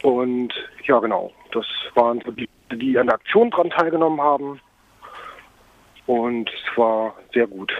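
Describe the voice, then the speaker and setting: A man speaking as an activist in a spontaneous phone interview, halting and full of ums, over a mobile phone. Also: mid-range voice, expressive, no room sound. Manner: hesitant, halting, frequent ums. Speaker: climate camp activist being interviewed. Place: at a climate camp, on a mobile phone. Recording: dull, lo-fi mobile phone line.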